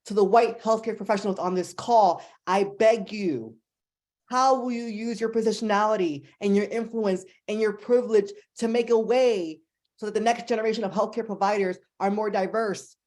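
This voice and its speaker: A young woman from New Jersey with a New Jersey accent, giving an impassioned, fast-paced speech as a symposium panelist, emphatic and pleading. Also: medium pitch, a little mellow. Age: young adult.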